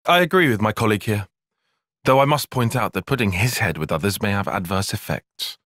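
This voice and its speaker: Precise young British tactician. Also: male voice, on the low side, animated, fairly bright tone, no echo. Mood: cautious, analytical. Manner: measured, formal, articulate, dry. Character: young male tactician soldier, bookish, cautious, precise, exasperated. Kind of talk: video game cutscene voice acting.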